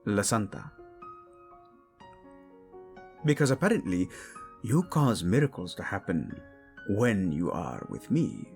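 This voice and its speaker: A man playing a devoted, hot-tempered yet tender king, speaking intimately and teasingly in a romantic audio roleplay. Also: on the low side. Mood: adoring, amused. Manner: soft, intimate, teasing, halting.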